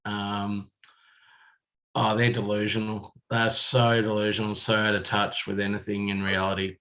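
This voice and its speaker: Aussie bloke on footy radio, blunt, lots of ums, lowish voice. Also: male voice, monotone, moderate pace, bright, forceful tone, slight background noise. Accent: Australian. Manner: blunt, opinionated, many ums. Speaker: radio panelist. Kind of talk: casual sports talk radio. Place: radio studio.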